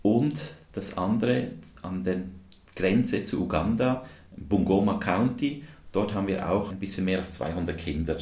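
A man's voice: low voice, measured pace, a little mellow. A man who grew up in India and whose first language is English, answering interview questions as a charity volunteer with many hesitations and filler sounds. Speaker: guest, dental technician, charity volunteer. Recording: roomy echo.

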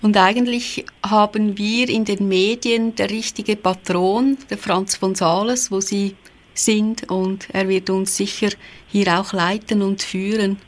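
A woman's voice: mid-range voice, steady tone, unhurried. A woman, a radio host who is polite and formal as she interviews a guest.

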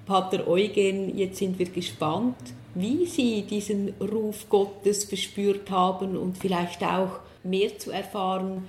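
A woman's voice: mid-range voice, a little mellow. A woman interviewer posing a formal, polite question to a guest. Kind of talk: interview introduction. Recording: roomy echo with faint noise.

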